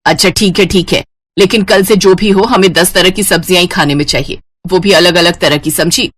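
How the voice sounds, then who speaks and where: A woman voicing a strict, demanding mother-in-law in an animated storybook, speaking in a bossy, scolding way. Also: fairly low pitch, a little fast, voice pushed down.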